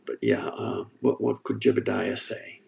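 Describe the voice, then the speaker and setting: Gravelly man chatting on a livestream. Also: on the low side, very animated, measured pace, slight background noise. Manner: rambling, joking, restarts often. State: sore throat. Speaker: livestream host reading chat. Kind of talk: casual livestream chat.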